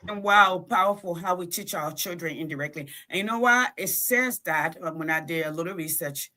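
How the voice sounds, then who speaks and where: Bright, forceful Liberian woman making points. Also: on the low side, a little fast. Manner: emphatic, punctuates points with "okay?". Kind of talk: animated conversational commentary.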